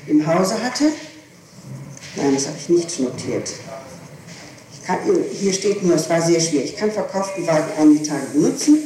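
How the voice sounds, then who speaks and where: A woman's voice: low-pitched, dark tone. A woman answering questions as a suspect in a police interrogation, giving her account with insistent precision about dates and times. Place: reverberant room, far from the microphone. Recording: echoey, distant, noisy archival tape recording.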